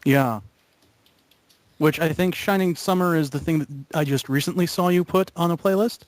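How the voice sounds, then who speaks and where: Higher-pitched man in dry casual chat. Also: moderate pace, no room sound.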